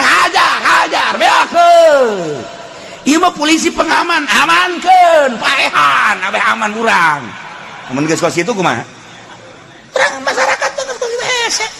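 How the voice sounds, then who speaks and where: A man with a Sundanese accent preaching in an animated, earthy, joking storytelling style. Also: high-pitched, gravelly, a little hiss or hum. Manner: animated, breaks into shouts and laughter. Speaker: Islamic preacher. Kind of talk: humorous storytelling sermon.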